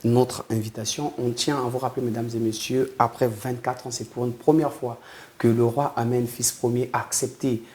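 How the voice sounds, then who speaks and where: A man's voice: medium pitch, flat delivery, moderate pace, a little mellow. A male television journalist hosting a formal studio interview, speaking with deference and ceremony and addressing his guest with honorific titles. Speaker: TV journalist and host.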